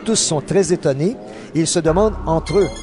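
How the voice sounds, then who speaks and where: Guy narrating an audio bible, fairly high male voice, scripted read, music going behind him. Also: brisk. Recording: narration over clear background music.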